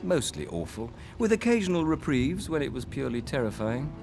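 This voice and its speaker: Lively, wry wartime sweetheart. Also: male voice, medium pitch, a little fast, creaky, faint music behind. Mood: wry, teasing. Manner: dry understatement, self-deprecating. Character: wry, brave young wartime man, self-deprecating sweetheart. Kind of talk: radio drama acting.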